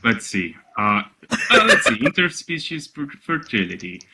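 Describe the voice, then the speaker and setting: A man reading aloud mockingly in casual banter, halting often with exasperated, incredulous asides. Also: fairly low pitch, very animated, heavy background noise. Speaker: reader on a comedy reading show.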